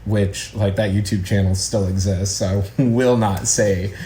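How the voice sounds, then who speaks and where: A man's voice: on the low side, fairly even intonation, a little slow, dark tone. A young American man chatting casually as an interview guest, with a wry, self-deprecating manner. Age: young adult. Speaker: interview guest, actor and acting educator. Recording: roomy echo, faint background noise.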